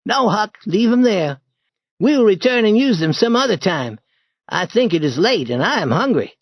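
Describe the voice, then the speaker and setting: A man voicing an eager, bold boy who leads an adventure in a storybook reading, in a lively and urgent manner. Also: sits high, moderate pace, no room sound, voice pushed up, full character voice. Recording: dull dry lo-fi recording.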